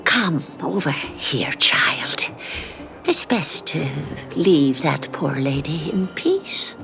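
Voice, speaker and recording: A woman playing a gentle, pitying elder in a video game, hushed and breathy, drawing out her words. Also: low voice, animated, in a whisper, full character voice. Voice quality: breathy, creaky. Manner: hushed, slow, drawn-out. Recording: music under the voice.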